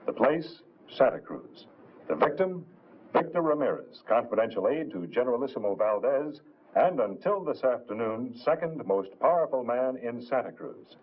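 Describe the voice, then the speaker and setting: An actor playing a formal male newscaster reading the evening news in a measured 1950s announcer style. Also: medium pitch, very animated, breathy edge, dull audio. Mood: formal, grave. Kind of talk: 1950s newscast delivery in a film. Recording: band-limited old film soundtrack.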